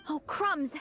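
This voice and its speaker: A woman's voice: sits high, fairly bright tone, exaggerated voice. A woman voicing an exuberant, friendly, headlong young adventurer in a game, gushing and eager. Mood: excited. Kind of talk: animated game dialogue.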